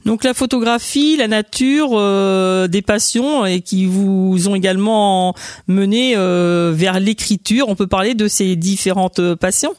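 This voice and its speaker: Radio host, formal questions, dry studio sound, mid pitch. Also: unhurried, darkish tone, no room sound. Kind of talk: radio interview.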